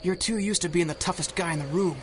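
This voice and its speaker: A scrappy, streetwise sidekick acted by a man in a radio drama. His voice is high and anxious, with faint night insects behind it. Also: fast talker, slight background noise, natural voice. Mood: urging. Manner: streetwise, colloquial.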